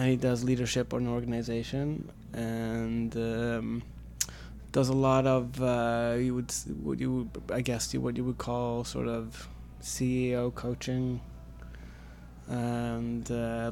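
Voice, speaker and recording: A man's voice: medium pitch, completely flat delivery, slow pace, vocal fry, a little mellow, slight background noise. A man in his twenties who grew up in Sweden, answering interview questions as a camp staff guest, hesitant with many fillers. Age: 20s. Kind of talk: casual interview.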